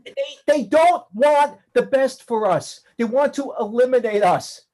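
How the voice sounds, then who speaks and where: A woman's voice: fairly high pitch, expressive, a little slow, warm tone. A woman playing a defiant adult son who rants sarcastically. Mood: pleading. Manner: ranting, sarcastic, confrontational.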